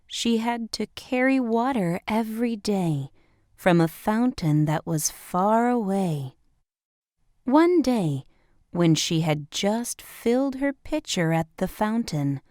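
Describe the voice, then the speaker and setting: Lady reading a kids fairy tale, slow pace, really expressive up and down. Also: medium pitch, no room sound, studio quality. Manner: expressive, measured storybook delivery. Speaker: storyteller. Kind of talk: scripted children's bedtime story narration.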